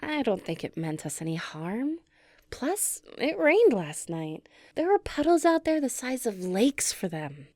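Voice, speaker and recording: A woman playing a gentle, excitable young giantess who dotes on a tiny human, cooing tenderly in an ASMR roleplay. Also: fairly high pitch, measured pace, no echo. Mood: playful, reassuring.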